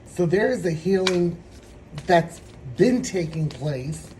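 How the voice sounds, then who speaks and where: Slow, hoarse man giving a tarot reading. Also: high voice, expressive, darkish tone, a little hiss or hum, rough recording. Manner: meandering, reflective, slow-spoken. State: hoarse, throat trouble. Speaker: tarot reader.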